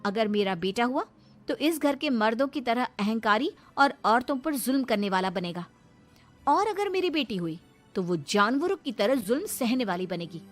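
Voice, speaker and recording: A woman's voice: a little high, sing-song, moderate pace, faint music behind. A woman voicing an outspoken, indignant young wife in a dramatised moral story. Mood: firm, protesting. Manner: indignant, argumentative, sarcastic. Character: defiant, outspoken young wife standing up to in-laws.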